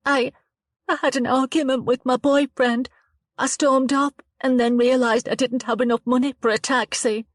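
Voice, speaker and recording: A frightened young woman speaks haltingly, her voice higher than most and trembling, as a woman reads her part. The sound is dry and close, with no room around it. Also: level tone, no room sound, close to an everyday voice. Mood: upset. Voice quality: trembling, halting and upset, rising higher when distressed. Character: young woman, shaken and tearful after an attack. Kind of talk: dramatized audiobook reading.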